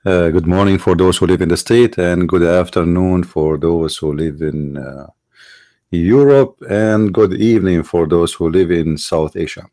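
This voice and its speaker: Man, Arabic accent, middle-aged, low voice, halting, emphatic monologue. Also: mellow. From Middle East. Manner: halting, repeats and restarts words, stresses points. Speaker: online show host. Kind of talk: monologue commentary.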